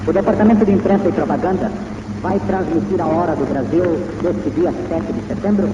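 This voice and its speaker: A man's voice: on the high side, distant mic. A Brazilian man making a formal, ceremonious live radio announcement in a declamatory old-time style, on an archival broadcast recording. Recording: muffled, noisy, heavily echoing archival radio broadcast.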